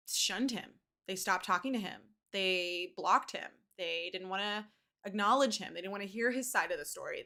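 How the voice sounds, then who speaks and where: A woman's voice: mid-range voice, bright, forceful tone. A woman giving casual explanatory commentary like a teacher, emphatic and repeating words for stress. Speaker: teacher commenting on a video for students.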